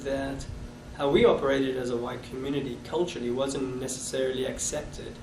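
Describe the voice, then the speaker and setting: A man in his thirties with a South African accent, recalling his past with halting restarts in an oral history interview. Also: mid-range voice, fairly even intonation, darkish tone. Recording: echoey room, distant mic, noisy.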